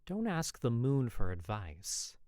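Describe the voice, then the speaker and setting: A performer as an older gentleman, soft, melodic, calm, persistent, slow delivery, audio drama. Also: mid-range voice, dry recording, studio quality.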